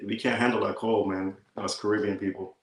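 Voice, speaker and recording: A young man, speaking as a relaxed guest in a casual interview and often tossing in "man". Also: fairly low pitch, a little fast, echoey room, poor audio. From Bahamas. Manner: easygoing, says "man" often.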